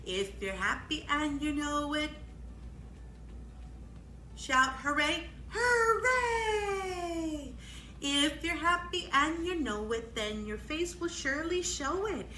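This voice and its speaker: A woman's voice: high-pitched, lively intonation, slow pace, pushed and bright. A woman hosting a storytime for young children, encouraging and exclamatory, prompting her listeners with questions during songs and guessing games. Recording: echoey room, faint background noise.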